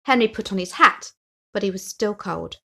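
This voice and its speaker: A woman narrates a children's storybook. Her voice sits at a medium pitch, and she reads expressively, telling the tale for young listeners. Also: normal speed, gravelly, a bit bright. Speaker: story reader.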